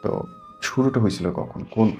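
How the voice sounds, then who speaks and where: A man's voice: fairly low pitch, moderate pace. A man with a Bangladeshi accent hosting a storytelling interview show as the radio host. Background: soft background music.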